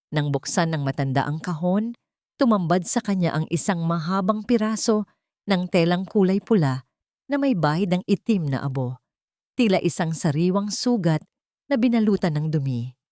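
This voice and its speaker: A woman's voice: on the low side, a little mellow, dry recording, clean studio sound. A woman reading a scripted, dramatic story as its narrator. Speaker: storyteller, narrator of love stories.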